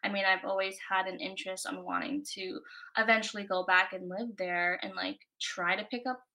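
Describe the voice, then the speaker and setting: A woman's voice: medium pitch, animated, normal speed, sharp tone, rough recording. A young American woman from Florida, a guest in a casual interview, talking loosely with lots of "like" and "you know". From United States. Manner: chatty, many "like" and "you know" fillers. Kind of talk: casual conversation.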